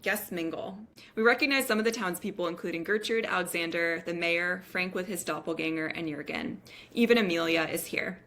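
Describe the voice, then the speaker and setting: A woman's voice: fairly low pitch, fairly quick, hardly any pauses, fairly bright tone. A woman reading scripted narration and stage directions at a table read.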